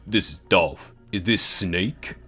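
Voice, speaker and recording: A British man voicing a male marine commander, pushing his voice deeper. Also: slow, bright, forceful tone, soft background music, full character voice. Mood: tense. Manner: forcing his voice lower.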